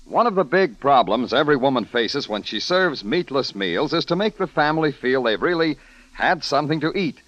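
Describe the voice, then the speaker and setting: A man announcing and pitching a sponsor in a 1940s radio commercial style. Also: sing-song, moderate pace, fairly bright tone, no room sound. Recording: dry, muffled old radio broadcast.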